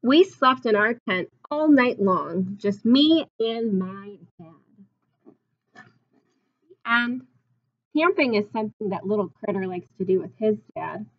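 Young lady teacher doing a storytime read aloud for little kids, expressive, kinda muffled lo-fi webcam sound. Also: medium pitch, slow. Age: 20s. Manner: expressive, simple explanations addressed to children. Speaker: teacher reading aloud to children. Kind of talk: picture-book read-aloud with asides and questions to young children. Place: small classroom, indoors.

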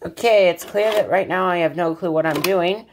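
A woman's voice: lowish voice, moderate pace, slight background noise. Exasperated woman in a casual vlog. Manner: informal, exasperated, mildly profane. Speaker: video maker. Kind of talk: casual rambling vlog, narrating a hands-on product test. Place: indoors in a home kitchen area.

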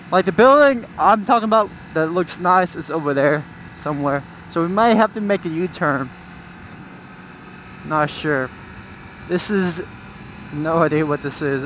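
A man's voice: a little high, background noise. A man giving casual, rambling commentary while riding a motorcycle, thinking aloud as he goes. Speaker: motorcycle vlogger. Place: outdoors, riding a motorcycle on city roads.

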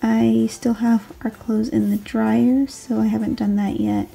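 A woman in her twenties with a raspy late-night voice, rambling casually through her daily planner and correcting herself as she goes. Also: on the high side, little pitch movement, unhurried. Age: 20s. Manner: rambling, self-correcting, soft. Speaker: planner vlogger, stay-at-home mom. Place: home desk, filmed late at night. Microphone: overhead camera on the desk.

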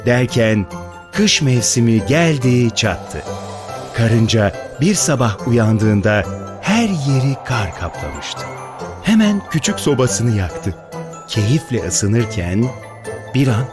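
A man narrating a scripted children's fairy tale as a storyteller. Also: medium pitch. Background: music.

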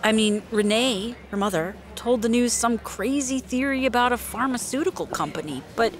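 A woman's voice: a little high, normal speed, lightly acted. An actress playing an earnest, concerned woman in an audio drama, probing in a conversational way. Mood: secretive, puzzled.

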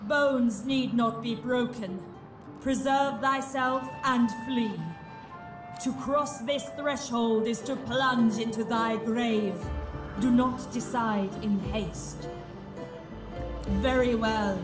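Echoing, very slow, ominous crypt guardian. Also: female voice, fairly high pitch, fairly even intonation. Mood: solemn warning. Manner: solemn, archaic, measured warnings. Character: grave, ominous female guardian spirit of a crypt door. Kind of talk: video game character acting. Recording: echoing, distant, music behind.

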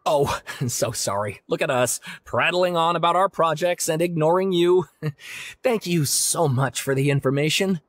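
A man's voice: fairly high pitch, moderate pace, slight background noise, natural voice. A man voicing a polite, earnest, long-winded scholar in a game, speaking formally and eagerly. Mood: grateful, apologetic.

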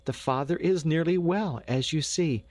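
A man voicing a fussy, warm and eager peasant mother in a storybook, with homely old-fashioned speech. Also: a little high, moderate pace, no room sound, pitched above the performer's own voice, close to an everyday voice. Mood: flustered.

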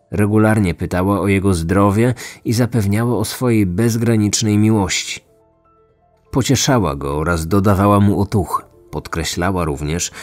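True-crime host, male, lowish voice, scripted storytelling over background music. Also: darkish tone. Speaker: podcast host, narrator.

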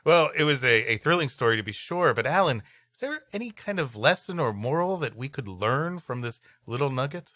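A man with a bright, forceful voice and a very lively, swinging delivery. He is a podcast co-host doing comic banter and story reading, recorded close and dry. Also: mid-range voice, a little fast, hardly any pauses, dry recording.

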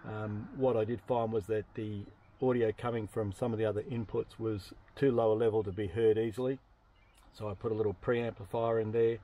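A middle-aged Australian man vlogging from the cab of a parked truck. His voice is low and relaxed, and he explains things plainly, pausing now and then as he finds his words. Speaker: off-road camping vlogger. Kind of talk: casual explanatory vlog.

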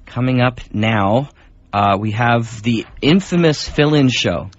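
A man's voice: medium pitch, slow pace. A man hosting community radio with rambling, jokey, unscripted banter. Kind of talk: casual unscripted co-host banter. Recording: dull lo-fi radio recording.

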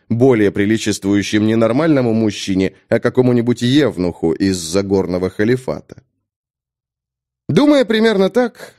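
Man, audiobook narrator, fantasy storytelling, highly varied intonation, mid-range pitch, measured dramatic delivery. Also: darkish tone.

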